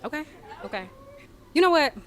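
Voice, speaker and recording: A woman in her twenties, a dating show contestant, talking in halting, giggly, teasing bursts. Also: high voice, animated, a bit bright. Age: 20s.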